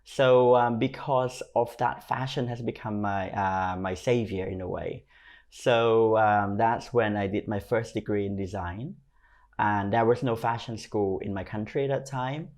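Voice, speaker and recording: Low-pitched man with a Thai accent. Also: a little slow, darkish tone. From Thailand. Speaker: podcast guest, fashion stylist and creative consultant. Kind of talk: conversational interview storytelling.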